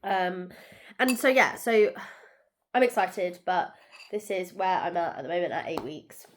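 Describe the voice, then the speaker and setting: A young woman with a cold, talking softly and tiredly at home in a rambling casual vlog, nasal and bunged up. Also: on the low side, measured pace. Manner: soft, tired, rambling with many fillers. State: congested, sore throat. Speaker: vlogger.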